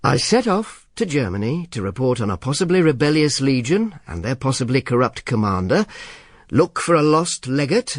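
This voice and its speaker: A world-weary Roman informer in a radio drama. His mid-pitched voice moves in very lively swings. He is sardonic and grumpy, with a dry wit. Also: no room sound. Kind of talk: radio drama narration and dialogue.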